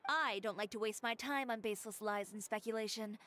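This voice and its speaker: A woman's voice: mid-range voice, a little fast, bright, forceful tone, a little hiss or hum, lightly acted. A woman voicing a composed, proud female fashion designer in a video game, clipped and self-assured. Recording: game audio over music.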